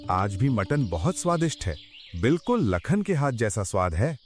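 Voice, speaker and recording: A man's voice: mid-range voice, very animated, moderate pace, soft background music, lightly acted. A man voicing a cheerful male villager who eagerly orders food in a cartoon story. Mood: friendly. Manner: friendly, eager, praising.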